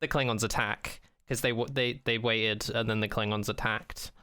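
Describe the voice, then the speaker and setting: A man's voice: lowish voice, steady tone, normal speed, sharp tone, clear, resonant tone, no room sound. A man co-hosting a podcast, chatting casually and jokingly with blunt, comically exaggerated emphasis. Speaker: podcast co-host. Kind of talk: casual, joking chat about sci-fi television.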